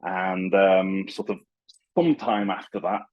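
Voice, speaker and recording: A man's voice: low-pitched, measured pace, highs cut off. A man in his thirties from Liverpool with a Liverpool accent, a guest telling stories at home over a video call. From Liverpool, England. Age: 30s. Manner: relaxed, storytelling, with stammered restarts. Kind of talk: casual video-call interview.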